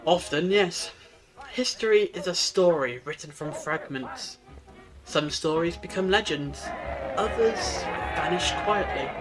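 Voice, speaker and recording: An audio drama time traveller, played by a man with a high voice. He is wise and earnest, explaining history like a storyteller with real enthusiasm. Music plays clearly underneath. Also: measured pace, poor audio. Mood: reflective.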